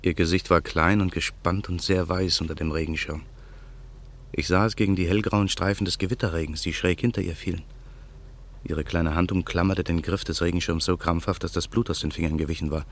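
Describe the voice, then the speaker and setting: A man's voice: low-pitched, steady tone, a little fast. An actor playing a haunted man bent on revenge, narrating with brooding intensity in a radio play. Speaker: narrator and lead character.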